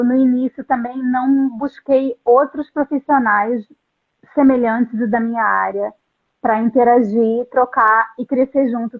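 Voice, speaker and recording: Middle-aged woman, somewhat high voice, earnest and halting, thin phone-line sound. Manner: earnest, halting, with frequent restarts. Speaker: translator, interview guest. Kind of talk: reflective interview storytelling.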